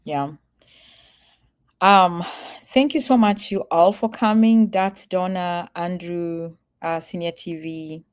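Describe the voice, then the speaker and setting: A woman's voice: on the low side, slow pace, clear, resonant tone. A woman from Kenya talking casually to her livestream viewers, earnest and emphatic, repeating her warnings and laughing easily. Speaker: vlogger.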